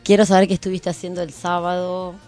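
A woman co-host with an Argentine accent and a slightly low voice. She chats casually and playfully, echoing her own phrases, with music clearly behind her. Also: little pitch movement, fast, warm tone. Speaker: radio co-host. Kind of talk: casual radio chat.

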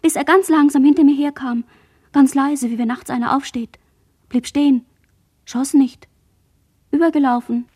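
A woman in a radio play, playing a dazed, traumatised girl who survived a massacre, in halting fragments. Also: sits high, fairly even intonation, a little mellow, natural voice. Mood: haunted, halting.

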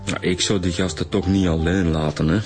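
A male actor plays a junior homicide detective in a Flemish radio drama. His voice is low, his Belgian Dutch talk casual and a little halting, with clear music behind. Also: fairly even intonation, lightly acted. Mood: amused.

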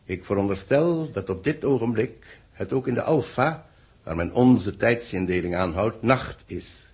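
A man acting a worried official in a 1960s Dutch radio play, speaking in a measured, formal way with a gravelly, husky voice. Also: fairly low pitch, lively intonation, darkish tone. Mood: formal dictation. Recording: muffled-edged vintage 1960s radio recording.

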